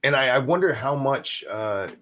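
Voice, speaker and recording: A man chatting casually in a blunt, deadpan way. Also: medium pitch, normal speed. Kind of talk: casual chat.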